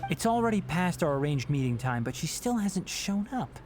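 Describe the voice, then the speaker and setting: Young mentor for a game: articulate, reassuring, precise, with lively pitch movement over music. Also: male voice, moderate pace. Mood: concerned. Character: calm, sensible young male mentor, caring and precise. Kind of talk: video game voice acting.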